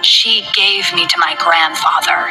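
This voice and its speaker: A woman's voice: fairly low pitch, unhurried, pitched below the performer's own voice, low-quality recording. A woman voicing a cold, rigid young rich man who speaks curtly, over faint music. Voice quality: rigid, flat.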